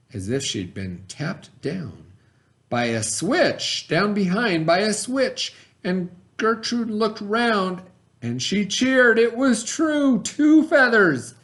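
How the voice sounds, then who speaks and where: A man reading a rhyming children's story aloud in a theatrical, expressive way, with dramatic pauses. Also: high voice. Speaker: storybook reader.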